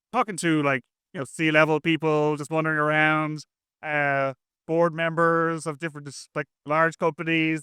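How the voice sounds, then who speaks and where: A man with a bright, clear voice that sits a little high for a man. He talks like a guest in a casual interview, chatty and rambling with plenty of slang. The sound is close and dry. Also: no room sound. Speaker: interview guest, software engineer.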